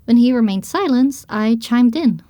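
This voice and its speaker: A woman reading scripted first-person story narration for an audio drama, with expressive storytelling delivery. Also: a little high, moderate pace, no echo.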